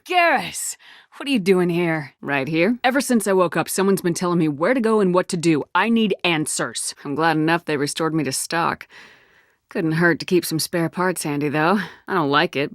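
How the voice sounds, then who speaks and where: A woman's voice: low voice, no echo, studio quality. A woman acting as a tough, confident space-navy commander in a video game, with a firm, controlled voice and a blunt, curt, assertive delivery. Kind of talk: game dialogue, isolated voice lines.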